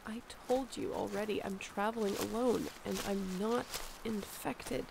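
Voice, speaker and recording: A woman's voice: medium pitch, a little slow, creaky, background noise. A woman playing a sick, stubborn survivor of a zombie apocalypse in an audio roleplay, speaking in a weary, conversational way. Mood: defensive, pleading. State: feverish, under the weather.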